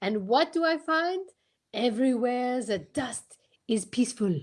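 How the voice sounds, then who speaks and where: A woman playing a pompous, courteous male detective, formal and deliberate, in old-time radio mystery style. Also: on the high side, lively intonation. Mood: explaining proudly. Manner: formal, deliberate, theatrical questioning.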